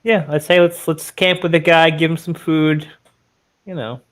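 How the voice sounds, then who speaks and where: A man's voice: a little high, little pitch movement, normal speed, fairly bright tone. A man playing a tabletop game, improvising in a casual, conversational style.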